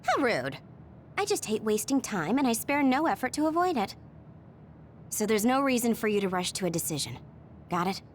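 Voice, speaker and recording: A woman's voice: fairly high pitch, sing-song, moderate pace, pauses often, slight background noise. A woman playing a brainy, laid-back young woman in an English game dub, explaining things in an analytical, matter-of-fact way. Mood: mildly indignant.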